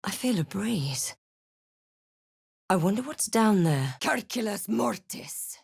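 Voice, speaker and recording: A woman playing a wry, brooding adventurer heroine in a fantasy video game, with a measured, dramatic delivery. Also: mid-range voice, expressive, gravelly, slightly rough, no room sound, studio quality, exaggerated voice. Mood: wry, matter-of-fact, then commanding incantation. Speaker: video game player-character voice actor. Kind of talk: scripted game narration and barks.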